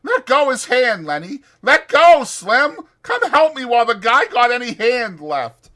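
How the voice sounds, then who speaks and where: A man voicing a protective ranch worker who shouts urgent orders. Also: high-pitched, huge pitch swings, slow, voice pushed up, heavily acted. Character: anxious protective male ranch worker, wiry.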